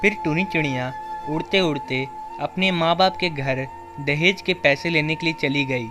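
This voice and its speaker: A man's voice: a little high, moderate pace, over music. A man narrating a scripted children's story as the narrator of a cartoon.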